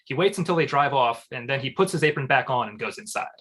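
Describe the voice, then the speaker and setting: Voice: medium pitch, animated, fast, a bit bright. A narrator reading scripted stage directions aloud at a live comedy table read.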